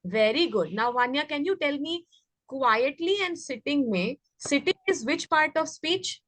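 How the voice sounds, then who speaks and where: A woman teacher with an Indian accent and a fairly high voice leads a children's English class online. She is instructive, often repeating questions to prompt her pupils. The sound is dry, with little room echo. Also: expressive, unhurried, a bit bright. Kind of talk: interactive online English lesson for young children.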